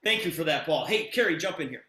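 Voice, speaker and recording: A man's voice: fairly high pitch, lively intonation, fast talker, sharp tone. A man hosting an online meeting in an enthusiastic, informal way, repeating phrases for emphasis on a video call. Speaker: host of an online testimonial meeting. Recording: roomy, echoing video-call sound.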